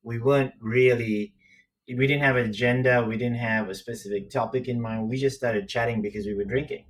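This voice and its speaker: Male podcast co-host, grew up in Sri Lanka, relaxed rambling banter over a remote line. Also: mid-range voice, fairly quick. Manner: laughing, easygoing, rambling. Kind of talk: casual podcast chat over a call. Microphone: remote call.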